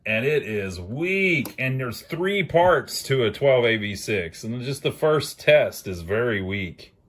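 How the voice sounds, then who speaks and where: A man's voice: medium pitch, expressive, slow pace. A man narrating a hands-on repair video in a casual, folksy way, thinking aloud as he works. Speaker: host of an amateur electronics repair channel.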